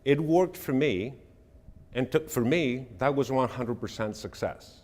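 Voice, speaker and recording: A middle-aged man from Greece, giving a measured, earnest presentation and answering audience questions. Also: mid-range voice, a little mellow, long reverb tail, a little hiss or hum. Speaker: speaker.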